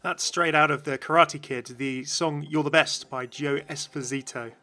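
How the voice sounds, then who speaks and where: Bright, higher-voiced man narrating gaming videos. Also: steady tone, normal speed. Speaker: video game channel narrator. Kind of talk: explanatory video commentary.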